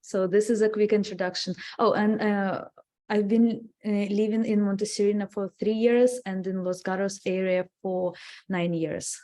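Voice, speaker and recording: A woman's voice: mid-range voice, little pitch movement, warm tone. A woman with a Russian accent, a native Russian speaker, speaking hesitantly as a commissioner at a meeting. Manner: hesitant, halting. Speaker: new commissioner. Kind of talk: informal self-introduction at a meeting.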